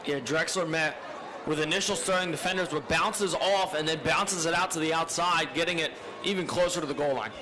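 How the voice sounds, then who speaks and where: A man giving chatty, admiring color commentary on a high school football game. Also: a little fast. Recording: noisy live stream with crowd.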